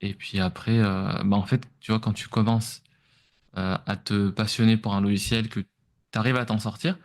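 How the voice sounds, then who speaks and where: Low-voiced Frenchman explaining informally. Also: flat delivery, moderate pace. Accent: French from France. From France. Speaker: podcast guest, entrepreneur. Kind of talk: conversational interview answers.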